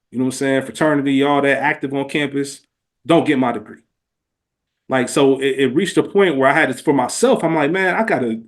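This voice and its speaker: An American man co-hosting a podcast in casual, reflective conversation, earnest and slangy, repeating phrases for emphasis. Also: mid-range voice. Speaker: podcast co-host.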